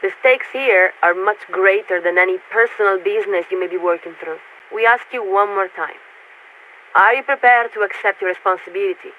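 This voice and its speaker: A woman playing a composed warship radio signaler in a video game, speaking in formal, measured military diction through a radio filter. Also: mid-range voice. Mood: urgent, insistent. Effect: radio transmission filter. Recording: tinny narrowband radio with faint static.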